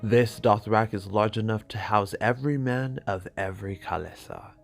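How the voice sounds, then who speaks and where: Man playing a grave, low-voiced exiled knight. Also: vocal fry, soft background music. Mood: sober explaining. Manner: grave, measured. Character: seasoned exiled knight, sober, loyal male advisor.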